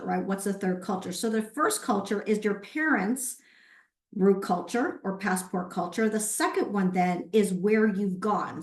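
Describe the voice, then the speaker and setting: A woman presenting at a webinar, explaining things step by step and posing rhetorical questions. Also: medium pitch. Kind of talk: explanatory lecture with brief acknowledgements of participants. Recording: roomy, echoey sound.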